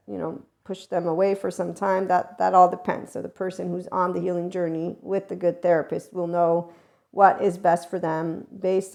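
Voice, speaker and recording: A middle-aged woman hosting a spiritual self-help podcast, talking in a rambling, emphatic monologue that often swings in loudness. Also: lowish voice, vocal fry. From America and Italy. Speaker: podcast host.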